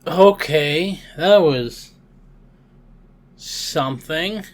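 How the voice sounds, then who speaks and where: A man's voice: on the high side, very slow. Man giving casual game playthrough commentary. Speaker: gaming video host.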